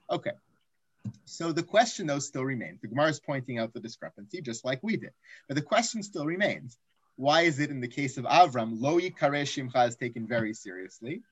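A man teaching an online Talmud class, raising rhetorical questions and dropping into quiet asides. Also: mid-range voice, no room sound. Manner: animated, asks rhetorical questions, drops to asides.

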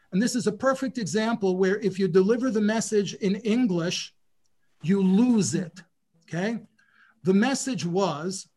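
A man with a notably high voice lectures in an informal class discussion. He is animated and often stumbles over the first words before his thought flows. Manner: animated, repeats words when starting. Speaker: guest rabbi lecturer.

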